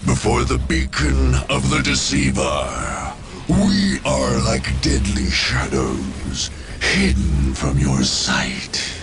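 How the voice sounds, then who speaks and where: An actor playing an ancient, malevolent undead machine lord in a video game, declaiming in a grandiose, contemptuous and ominous way. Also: male voice, fairly low pitch, huge pitch swings, over music, heavily acted. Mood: menacing, scornful. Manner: slow, ominous, portentous declaiming. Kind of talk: theatrical video game villain acting.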